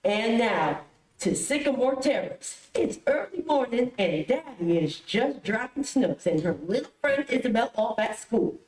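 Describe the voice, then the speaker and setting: A woman's voice: on the high side, big pitch swings, unhurried, a little mellow. A woman announcing a show with scripted delivery in an old-time radio style. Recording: echoey room, distant mic.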